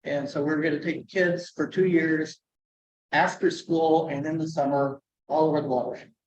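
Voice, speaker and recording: A soft-spoken man, a conservation educator, giving a chatty presentation with asides and fillers. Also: on the high side, level tone, normal speed, reverberant, highs cut off. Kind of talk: conference presentation.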